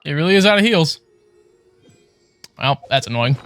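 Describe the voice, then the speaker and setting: A man's voice: on the high side, lively intonation, moderate pace, fairly bright tone, no room sound. A man livestreaming a video game with casual, foul-mouthed, exasperated commentary. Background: video game music.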